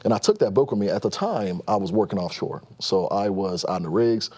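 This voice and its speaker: A man in his thirties from Nigeria, a guest telling his story in a casual interview, quick and full of restarts. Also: fairly low pitch, slightly rough. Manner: fast-talking, animated storyteller with frequent restarts and laughs.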